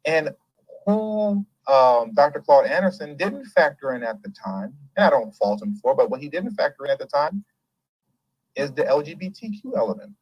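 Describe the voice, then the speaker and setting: A man hosting a casual commentary show, talking in an animated, emphatic and rambling way and laughing often. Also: a little high, moderate pace, dry recording, highs cut off. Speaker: host.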